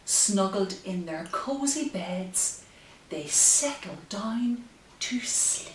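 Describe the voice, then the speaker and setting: A woman reading picture books aloud to young children, gentle and expressive, with drawn-out phrases and hushed asides. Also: mid-range voice. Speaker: story time host. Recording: roomy, distant mic with faint hiss.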